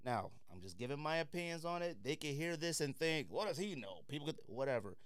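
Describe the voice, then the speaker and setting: A man with a smooth, clear voice speaks in a dry, close-sounding space. He hosts a casual review podcast, giving firm opinions in relaxed slang and hammering points by repeating them. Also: a little high, fairly quick, no echo. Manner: opinionated, slangy, emphatic repetition. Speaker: podcast host. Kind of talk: casual sneaker review chat.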